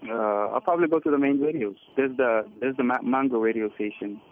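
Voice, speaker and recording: A man's voice: medium pitch, fast, slight background noise. A man in his twenties from Sudan, a musician guest talking in a hesitant way with many fillers. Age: 20s. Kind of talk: phone interview. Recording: dry tinny phone line.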